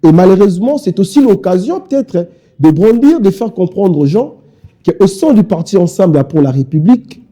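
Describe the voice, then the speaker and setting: A man's voice: high-pitched, animated, moderate pace, dark tone. A young man from Kinshasa with a Congolese French accent, a party spokesman speaking insistently in a televised interview. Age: young adult. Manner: insistent, repetitive speechmaking.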